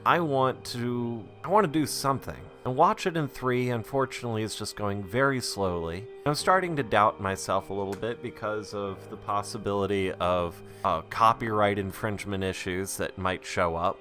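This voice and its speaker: Casting: male creator for an audio diary, low but bright, forceful voice, conversational and self-deprecating, music behind. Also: moderate pace, few pauses. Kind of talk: casual rambling audio log.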